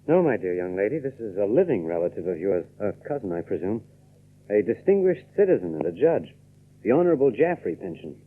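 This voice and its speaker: An actor playing a courteous, quietly intense young photographer in a radio drama, with a deliberate, teasing manner. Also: male voice, on the low side, big pitch swings, breathy edge. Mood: knowing. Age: young adult. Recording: dull old radio recording.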